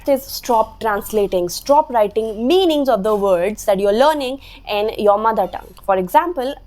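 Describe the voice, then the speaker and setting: A woman's voice: a little high, animated, normal speed, a little mellow. A young woman in her twenties whose first language is Hindi, speaking English with an Indian accent and earnestly explaining vocabulary tips to camera, repeating phrases for emphasis. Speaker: English teacher, channel host. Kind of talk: instructional talk to camera. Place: small room indoors, slatted wall.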